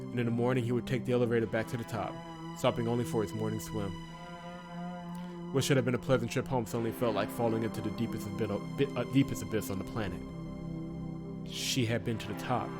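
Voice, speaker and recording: A man hosting a visual novel let's play, reading story text expressively with casual asides and corrections of his own slips. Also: mid-range voice. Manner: expressive reader who breaks off to correct himself.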